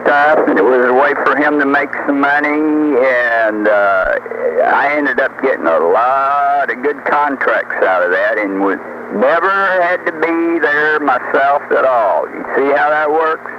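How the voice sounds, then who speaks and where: A man's voice: mid-range voice, lively intonation, unhurried. An older man in a rambling, folksy, boastful chat over CB radio, often throwing out rhetorical questions. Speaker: storyteller on CB radio. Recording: noisy muffled CB radio tape.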